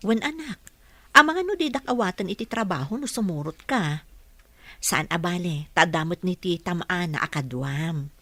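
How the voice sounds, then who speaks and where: An actress playing a gentle, earnest young single mother looking for work in an audio drama, speaking politely. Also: on the low side, expressive, moderate pace, no room sound. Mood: tender, reassuring.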